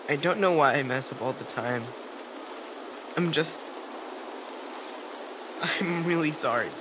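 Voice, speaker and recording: A man voicing a timid, guilt-ridden gentle young boy in a video game, hesitant and apologetic. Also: mid-range voice, noisy background, lightly acted. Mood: remorseful. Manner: hesitant, soft-spoken, apologetic. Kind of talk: naturalistic video game dialogue acting. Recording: clear outdoor stream ambience.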